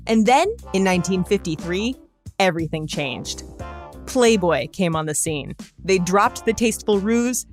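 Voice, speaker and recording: A woman hosting a podcast, reading scripted narration in a wry, conversational way with asides. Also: mid-range voice. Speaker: podcast host.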